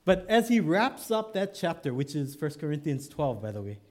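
A man's voice: fairly high pitch, big pitch swings, normal speed, a little mellow. A male pastor from Hawaii with a Hawaiian Pidgin accent, preaching in a joking, conversational way with tag questions. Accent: Hawaiian Pidgin English. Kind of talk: sermon.

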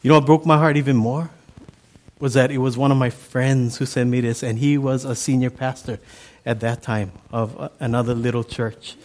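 A middle-aged man from Hawaii with a Hawaiian accent, Pidgin his first language, preaching a sermon in a relaxed, chatty, folksy way. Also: medium pitch, moderate pace. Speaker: pastor.

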